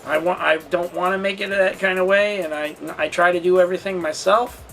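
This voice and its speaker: A man telling a personal story as a vlogger, casual and candid, talking in a halting, rambling way. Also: high-pitched, steady tone, a bit bright, faint music behind. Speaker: storytelling vlogger and channel host.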